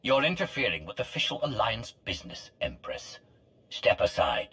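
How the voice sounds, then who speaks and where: A man's voice: lowish voice, big pitch swings, moderate pace, sharp tone. A man playing a resolute Sith commander in a scripted video game, terse and controlled. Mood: cold, defiant. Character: resolute, commanding male Sith Alliance leader.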